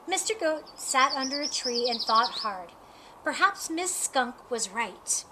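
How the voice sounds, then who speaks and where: A woman reading a children's picture book aloud in an expressive, deliberate way. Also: high voice, background noise. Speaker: storybook reader.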